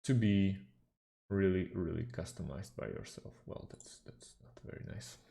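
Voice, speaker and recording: A man whose first language is Slovak, calmly walking through a software tutorial with frequent fillers. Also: low-pitched, gravelly. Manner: unhurried, hesitant with fillers, slightly non-native phrasing. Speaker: tutorial host. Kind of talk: screen-recorded software tutorial.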